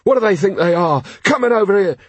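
A man's voice: on the high side, moderate pace, breathy edge, no echo, pitched above the performer's own voice. A man voicing a nervously defiant male rioter in an audiobook, aggrieved and blustering, faltering as he argues. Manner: indignant, faltering. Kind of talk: audiobook character voice.

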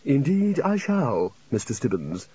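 A man voicing a calm, rambling old academic wizard in an audiobook, slow and given to reminiscing. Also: on the high side, lo-fi sound, pitched above the performer's own voice.

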